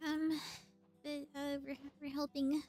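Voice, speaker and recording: Woman, very high voice, chatty game streamer, thinking aloud, casual commentary, faint music behind. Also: little pitch movement, measured pace, a little mellow. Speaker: livestreamer.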